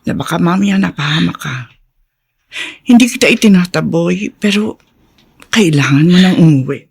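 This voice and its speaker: A woman's voice: on the low side, expressive, moderate pace, gravelly, a little mellow, slightly rough, heavily acted. A woman playing a caring but insistent older sister in a Filipino radio drama. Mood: firm, urging.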